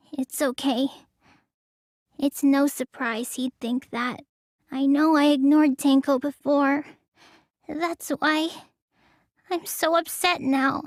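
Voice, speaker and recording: High-voiced, sulky, slow-talking teenage girl. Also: bright, forceful tone, no echo, clean studio sound, heavily acted. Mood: sad, hurt. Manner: drawling, pouty, stammers when flustered. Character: sulky, lazy teenage girl who claims real magic. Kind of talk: English video game voice acting.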